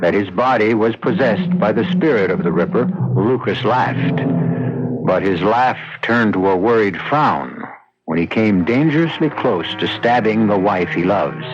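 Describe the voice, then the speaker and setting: Male drama host, portentous and measured, lower register, hissy dull vintage radio sound. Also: lively intonation. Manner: deliberate, portentous. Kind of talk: dramatic scripted narration. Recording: noisy, band-limited old radio recording.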